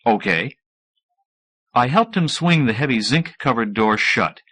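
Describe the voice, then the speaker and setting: Man narrating fiction, animated pitch. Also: moderate pace. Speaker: audiobook narrator. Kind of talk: scripted fiction narration with character voices. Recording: 1980s audiobook cassette recording.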